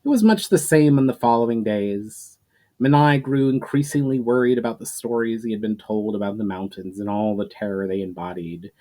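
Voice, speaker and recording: A man reading a story aloud as a narrator, expressive and deliberate in a dramatic storybook style. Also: lowish voice. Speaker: author reading their own story aloud.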